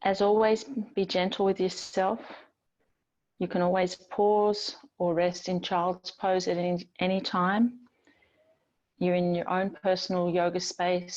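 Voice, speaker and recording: A woman teaching yoga, guiding relaxation in a gentle, soothing, unhurried manner with long pauses between phrases. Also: fairly low pitch, highs cut off. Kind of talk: guided yoga and relaxation instruction.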